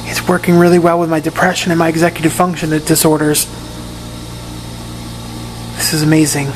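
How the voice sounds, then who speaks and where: A man with a hoarse, raspy voice giving a casual video update from his room in a rehab facility, often repeating and restarting his words. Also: on the high side, level tone, brisk, noisy background. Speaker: patient giving a recovery update.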